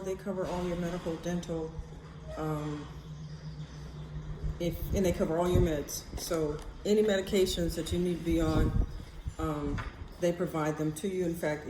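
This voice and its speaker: A woman with an American accent and a fairly low voice talks off the cuff like a vlogger. She is candid and blunt, working through her thoughts aloud. The room is noticeably echoey, with faint noise underneath. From United States. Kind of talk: casual rambling personal storytelling. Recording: roomy echo, faint background noise.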